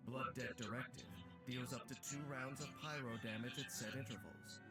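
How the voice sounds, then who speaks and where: A man delivers scripted narration for a game trailer. He is formal, polished and measured, with a middle-pitched voice. Music plays clearly behind him. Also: steady tone.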